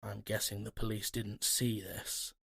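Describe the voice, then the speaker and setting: An actor playing a guarded, gruff investigator in an audio drama, with a husky, gravelly voice and a dry, terse, commanding manner. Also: male voice, fairly low pitch, little pitch movement, a little slow, fairly bright tone, no room sound, exaggerated voice. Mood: quietly probing. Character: guarded, gruff male paranormal investigator James Hunter.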